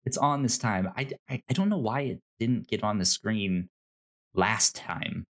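A man co-hosting a podcast in casual chat, halting and repeating words as he thinks. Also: lowish voice, fairly bright tone. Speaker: podcast co-host. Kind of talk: casual chat reviewing a TV show.

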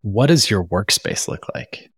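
A man hosting a podcast, reading a measured scripted intro and then asking interview questions. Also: fairly low pitch, animated, a bit bright, no room sound, clean studio sound. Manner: measured, smooth presenter delivery. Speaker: podcast host. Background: music under the intro.

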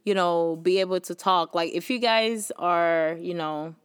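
A woman's voice: fairly low pitch, steady tone, measured pace, clean tone, no echo, clean studio sound. A young woman with a Haitian accent hosting a faith podcast, talking casually and earnestly, encouraging listeners with many fillers. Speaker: podcast host.

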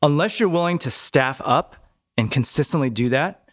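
A man's voice: a little high, moderate pace. Chatty man telling business stories. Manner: relaxed, chatty, tags sentences with "right?". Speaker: podcast guest, business owner. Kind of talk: casual conversational interview.

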